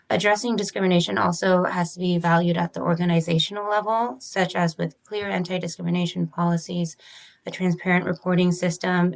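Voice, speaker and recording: A woman physician and researcher, interviewed as a guest, explaining her study in a careful, methodical and formal way. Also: fairly low pitch. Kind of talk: measured academic explanation.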